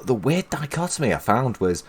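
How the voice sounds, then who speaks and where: A man's voice: medium pitch, sing-song, moderate pace, breathy edge. A man from England hosting a live stream, chatting casually and rambling, with lots of restarts and fillers. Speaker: stream host. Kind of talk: casual chat.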